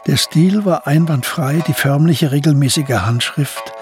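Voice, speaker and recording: An actor as the sober narrator of a historical crime radio play, with a husky voice. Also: male voice, fairly high pitch, measured pace, darkish tone, soft background music, natural voice. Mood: measured. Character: sober male storyteller of a historical crime tale.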